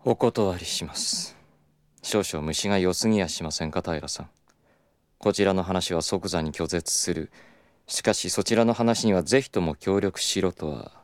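Guy playing a suave business exec in an audio drama, really low voice, polite but pushy, super dry close recording. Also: dry recording, natural voice. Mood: coolly stern. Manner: polite, unhurried, insinuating formal business speech. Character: smooth, persistent, self-assured male club executive from Kyoto. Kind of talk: Japanese audio drama acting.

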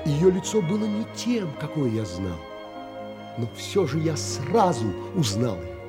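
Audio drama lead: a reflective, courteous traveller, measured delivery with wide melodic swings, music bed. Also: male voice, mid-range voice. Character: thoughtful male traveller recounting his wonder. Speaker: narrator and lead actor in an audio play. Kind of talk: literary audio drama narration.

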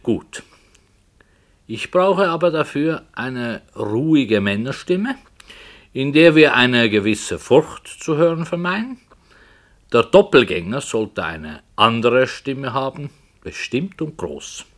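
A man playing a brooding writer in a radio play, solemnly and hesitantly telling a dark tale with earnest, dramatic emphasis. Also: mid-range voice, very animated. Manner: slow, hesitant, earnest, dramatic swells and hushes. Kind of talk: 1960 German radio play, literary storytelling.

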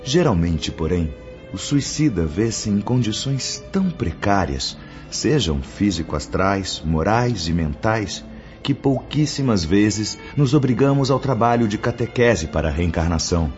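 Calm spirit teacher, very low voice, measured and didactic, radio drama, music behind. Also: male voice. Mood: instructive, grave. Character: calm, authoritative spirit mentor, a young-looking man. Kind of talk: radio drama acting, formal instructive speech. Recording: dull lo-fi audio. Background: background music.

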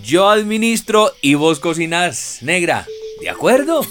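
A middle-aged Colombian paisa landowner, easygoing and flirtatious in a radio play. His delivery swings up and down as he coaxes and teases, with music clearly playing behind him. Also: high-pitched, big pitch swings, unhurried, fairly bright tone, exaggerated voice. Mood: hearty, businesslike. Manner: coaxing, teasing. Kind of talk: radio drama acting.

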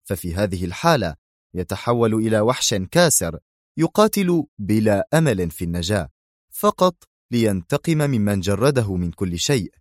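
An actor playing a hot-tempered crime boss in an audio drama, speaking sharply and commandingly. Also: male voice, lowish voice, a little mellow, no echo, studio quality, lightly acted.

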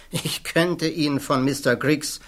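An actor playing an irreverent, blunt physics professor giving testimony in a radio play, wry and outspoken. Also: male voice, on the high side, fairly even intonation, slow pace. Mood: sardonic. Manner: wry, outspoken, swears casually.

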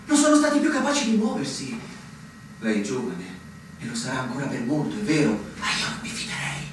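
An actor playing a weary, cynical senior army officer in a theatrical radio play, declamatory, swinging from outbursts to murmured resignation. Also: male voice, medium pitch, sing-song, fairly quick, lightly acted. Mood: weary, resigned. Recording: echoey room, distant mic, faint noise.

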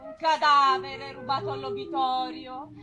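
A woman's voice: high voice, level tone, measured pace, bright, forceful tone. A woman acting as a grave, ominous storyteller in a theatrical historical tragedy. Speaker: narrator. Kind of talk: dramatic stage narration. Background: live music.

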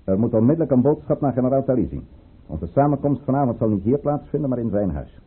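A man's voice: mid-range voice, expressive, fast, husky. An actor playing a calculating, commanding statesman in a radio play, speaking formally and theatrically. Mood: brisk, commanding. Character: calculating, commanding statesman with secrets, in a troubled love affair. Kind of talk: Dutch radio play acting. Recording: muffled, phone-like old radio play recording.

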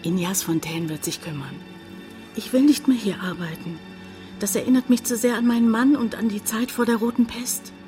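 An actress playing a bitter, pleading village hotel owner in a German radio drama, intense and reproachful. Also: female voice, fairly high pitch, normal speed, warm tone, faint music behind, natural voice. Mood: wistful.

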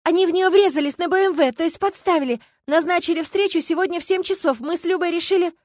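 A frantic, tearful woman blurts out her words between sobs, as a female narrator puts her on. The voice sits extremely high and piercing. It is recorded close and dry, like a plain audiobook read. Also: fast talker, darkish tone, no room sound. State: crying. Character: panicked sobbing woman chasing a car. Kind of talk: audiobook character voice.

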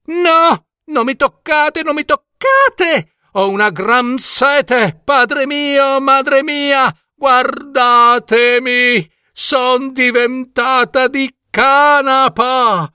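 Excitable, high-pitched young princess. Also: male voice, extremely slow, hardly any pauses, clear, resonant tone, no echo, studio quality, heavily acted. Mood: anguished. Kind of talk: fairy-tale storybook voice acting.

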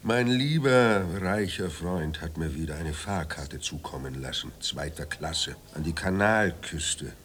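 A man's voice: extremely low pitch, lively intonation, a little slow, hardly any pauses, a bit bright, exaggerated voice. An actor in a radio drama playing a weary, ironic, hard-drinking male writer, theatrical and wryly courteous. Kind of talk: German radio drama acting.

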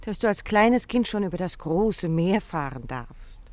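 Man putting on a high, gushing lady passenger. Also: expressive, a little slow, no room sound. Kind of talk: 1950s German radio play, narrator quoting.

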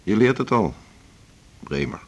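A man's voice: lowish voice, expressive, a little slow, lo-fi sound, slight background noise. A man acting a businesslike senior hospital doctor in a 1980s radio drama, speaking in a dry, informal, matter-of-fact way.

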